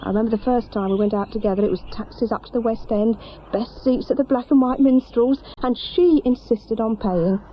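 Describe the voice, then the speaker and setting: Actress as chatty British shopkeeper, slightly noisy recording. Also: female voice, fairly high pitch, brisk, lo-fi sound, natural voice. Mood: confiding, gossipy. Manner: chatty, confiding. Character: chatty, gossipy, sympathetic woman shopkeeper. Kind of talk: naturalistic radio drama acting.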